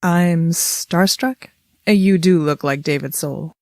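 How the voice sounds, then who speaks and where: A woman's voice: lowish voice, takes it slowly, no room sound. A woman playing a guarded, dry-witted companion to a time traveller in an audio drama, reserved and understated. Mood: guarded, curt.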